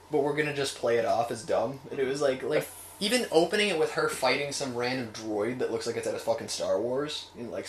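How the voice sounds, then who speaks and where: A man hosting a casual chat about a list of picks, talking informally with some light swearing. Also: medium pitch. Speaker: host. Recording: roomy echo, audible background noise.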